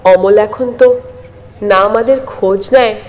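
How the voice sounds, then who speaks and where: A woman playing a tender, anxious village mother of a grown son in a moral story, with plaintive, drawn-out delivery. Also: mid-range voice, a little mellow, a little hiss or hum, close to an everyday voice. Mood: sad, worried. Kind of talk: dramatized moral story voice acting. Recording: long hall-like reverb.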